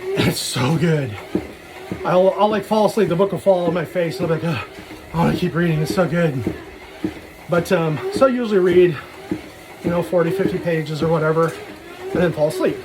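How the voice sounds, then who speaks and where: A man's voice: on the high side, normal speed, pauses often, background noise. A man casually rambling through a personal vlog, dropping self-deprecating, jokey asides. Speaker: vlogger. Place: talking while out walking.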